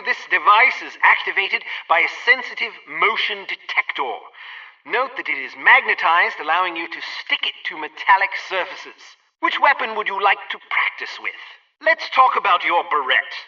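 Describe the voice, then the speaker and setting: A man's voice: sits high, very animated, moderate pace, sharp tone, recorded from a distance, lo-fi sound, heavily acted. A man playing a prim, helpful gadget instructor in a spy game, giving formal and precise scripted tutorial instructions. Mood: instructive. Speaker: training instructor.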